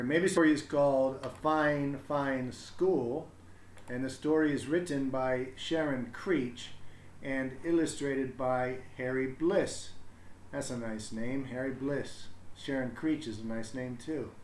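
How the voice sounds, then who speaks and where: A man's voice: mid-range voice, fairly even intonation, measured pace. Man rambling in an echoey room. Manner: hesitant, rambling, thinking aloud. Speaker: parent hosting a livestream storybook reading. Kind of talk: casual livestream setup chat. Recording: echoey room with background noise.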